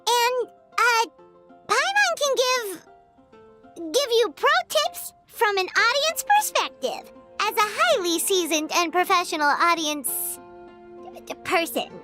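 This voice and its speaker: A tiny, squeaky fairy sidekick for a video game. The voice is extremely high and bright, swooping up and down, and she is perky, bossy and chatty like an excitable child. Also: takes it slowly, soft background music, full character voice. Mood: helpful, boastful.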